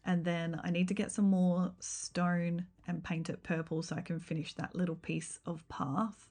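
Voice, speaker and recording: Croaky, low-pitched woman streamer. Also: flat delivery, a little slow, darkish tone. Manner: easygoing, meandering, with frequent ums and likes. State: slightly rough, croaky voice. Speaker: game streamer. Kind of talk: casual livestream chat while building in a game.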